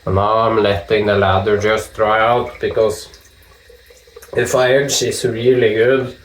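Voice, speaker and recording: A man with a Norwegian accent chatting casually while he shaves, joking and swearing in relaxed asides. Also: lowish voice, measured pace, slight background noise. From Norway. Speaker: vlogger shaving with a straight razor. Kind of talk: casual talk while shaving. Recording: echoey room with dripping water.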